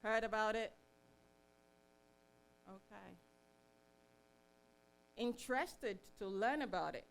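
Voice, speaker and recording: A woman's voice: a little high, fairly bright tone, low-quality recording. A middle-aged woman giving an informal live presentation at a meetup, chatty and self-deprecating as she addresses the room.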